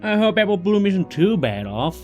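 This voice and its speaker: A man's voice: high voice, sing-song, moderate pace, dull audio, over music. A man voicing a friendly young male cowboy pony in a fan audio drama, with a polite, folksy drawl.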